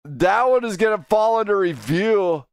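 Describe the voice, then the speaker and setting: Excitable high-voiced race commentator. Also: male voice, moderate pace, clean tone. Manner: excitable, shouting driver names. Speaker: sim racing commentator. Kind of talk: live play-by-play race commentary. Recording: racing game engine noise behind. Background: car engine roar from the game.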